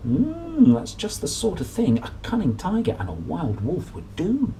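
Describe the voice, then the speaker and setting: A man's voice: a little high, wildly swooping pitch, unhurried, vocal fry, mellow, a little hiss or hum, pitched above the performer's own voice, full character voice. A man voicing a whiny, perplexed fat lady cat in a picture book, fussy and plaintive. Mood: knowing.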